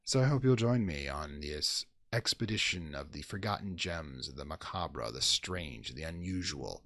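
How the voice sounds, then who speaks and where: Deep, gravelly, theatrical male horror host. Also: animated, a bit bright, no room sound, clean studio sound. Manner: dramatic, deliberate, macabre flourish. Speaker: host and presenter of a horror radio theater series.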